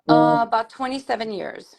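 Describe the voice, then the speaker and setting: A woman's voice: on the high side, moderate pace, gravelly, a little mellow, soft background music. A woman from Puerto Rico, a guest crafter chatting casually and a bit nervously on a live video call. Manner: chatty, self-deprecating, nervous.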